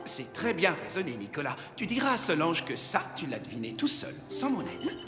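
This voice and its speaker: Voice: mid-range voice, big pitch swings, fairly quick, natural voice. A wise and kindly cartoon reindeer played by an actor, fatherly in manner. The intonation moves freely and expressively, with prominent music behind it. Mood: calm, instructive. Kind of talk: French animated series dubbing.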